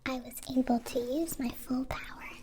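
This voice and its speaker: A woman voicing a gentle, polite alien in an ASMR roleplay, half-whispering in halting, formal phrases. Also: high-pitched, fairly even intonation, noisy background, voice pushed up, natural voice. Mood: grateful, polite. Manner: halting, formal, stilted phrasing without contractions. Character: gentle, polite young alien, grateful new friend.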